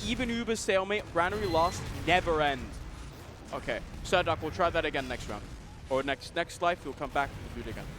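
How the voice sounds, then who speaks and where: A man's voice: on the high side, animated, a little fast, music in the background. Joking man casting a live esports match. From Denmark. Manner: joking, rambling banter with frequent restarts. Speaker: esports caster.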